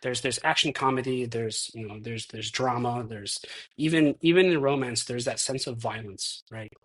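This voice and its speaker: A man's voice: mid-range voice, normal speed. A man of thirty-one, a stuntman guest in a casual interview, talking reflectively with many restarts. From United States. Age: 30s.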